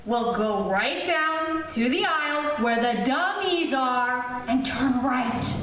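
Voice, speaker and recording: A woman's voice: high voice, lively intonation, slow, a bit bright, heavily acted. A woman playing a fussy, exasperated female department store floor walker with theatrical, scolding exclamations in old-time radio comedy style. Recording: distant, very echoey room with hiss.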